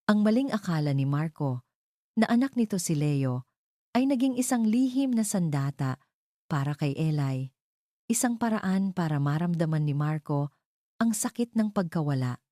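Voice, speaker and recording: Female narrator, low-pitched, dark soft tone, melodramatic, deliberate, romance storytelling. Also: no echo, clean studio sound. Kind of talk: dramatic scripted love story narration.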